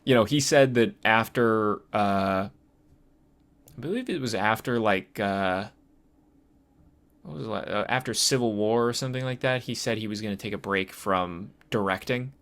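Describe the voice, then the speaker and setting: A man's voice: on the low side, unhurried, fairly bright tone. A man co-hosting a casual movie and game podcast, hesitant as he thinks aloud. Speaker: podcast co-host.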